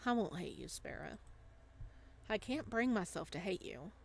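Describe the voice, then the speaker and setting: A woman voicing a guarded but softly affectionate thirty-one-year-old fisherman in a visual novel. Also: lowish voice, sing-song, moderate pace, creaky, slightly rough, voice pushed down, full character voice. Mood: soft, reassuring. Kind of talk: visual novel dialogue reading.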